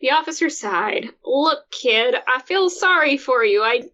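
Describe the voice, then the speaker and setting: A woman's voice: high-pitched, animated, a little slow, pushed and bright, muffled recording. A woman co-hosting a podcast, reading a story aloud with friends and giggling through mock-dramatic dialogue. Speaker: podcast co-host.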